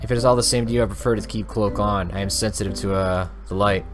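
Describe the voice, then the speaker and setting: A man's voice: on the low side, steady tone, fast, music in the background, natural voice. A man voicing a guarded, evasive cloaked traveler, polite but hesitant, in a visual novel read-aloud.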